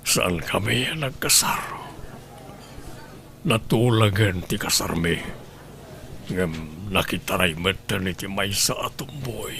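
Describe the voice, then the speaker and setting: A man playing a jilted lover who presses his ex-girlfriend, speaking slowly in an insistent, pleading way in an audio drama. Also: sits low, gravelly, bright, forceful tone, slight background noise, exaggerated voice.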